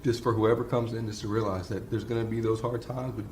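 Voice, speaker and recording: A man's voice: lowish voice, little pitch movement, fast talker, darkish tone, roomy sound, a little hiss or hum. A man in his twenties, reflective and earnest, sharing his family's story in a testimonial interview. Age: 20s.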